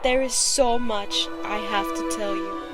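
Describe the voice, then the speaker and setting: A woman's voice: medium pitch, fairly even intonation, measured pace, music in the background, close to an everyday voice. A woman playing a heroic Amazon princess in a motion comic, earnest and heartfelt. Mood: relieved, tender. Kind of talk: dramatic motion comic voice acting.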